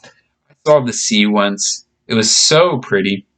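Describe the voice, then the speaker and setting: A man's voice: on the low side, slow pace, a bit bright. A man voicing a friendly, reassuring young girl in a storybook reading, chatting and explaining things simply.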